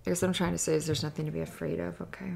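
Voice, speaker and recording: A young woman voicing a friendly, bashful cheerleader in an intimate ASMR roleplay, talking in a halting, giggly, flustered way. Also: fairly low pitch, level tone, creaky, a little mellow. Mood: reassuring, flustered.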